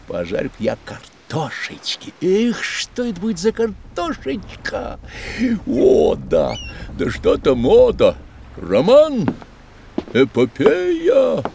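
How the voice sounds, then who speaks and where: An actor playing a boastful, whimsical adventuring sea captain in a theatrical, self-satisfied way for a children's audio drama. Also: male voice, high voice, wildly swooping pitch, slow pace, gravelly, mellow, a little hiss or hum, heavily acted. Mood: relishing. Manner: theatrical, self-satisfied, exclaiming, talks in short questions.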